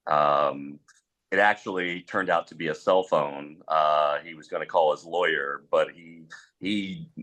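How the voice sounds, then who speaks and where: A middle-aged man with a very low voice that still cuts through with a bright, forceful edge. He speaks as a panelist drawing on his own experience, pausing as he goes and stating his views firmly. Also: steady tone. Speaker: panelist, former police officer.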